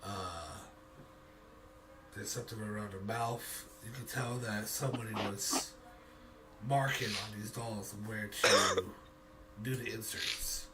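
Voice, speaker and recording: A man's voice: lowish voice, steady tone, takes it slowly, long pauses. A man running a tabletop game, improvising narration and rulings as game master. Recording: distant mic, faint background noise.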